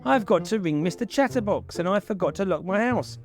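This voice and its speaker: Storybook casting: man as flustered forgetful chap, raised pitch, frantic and scatterbrained. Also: expressive, fairly quick, faint music behind. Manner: flustered, breathless listing.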